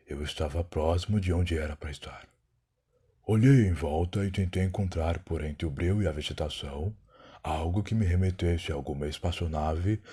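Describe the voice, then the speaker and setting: Proud, weary time traveler played by a man, Brazilian accent, low husky voice, solemn dramatic narration for an audio drama. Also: a little mellow. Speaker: narrator and lead character. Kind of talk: dramatic first-person scripted narration.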